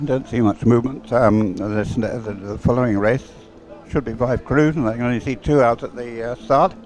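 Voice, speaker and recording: A man giving live, conversational race commentary in a halting way, repeating words as he goes. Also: medium pitch, steady tone, a little mellow. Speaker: sports commentator.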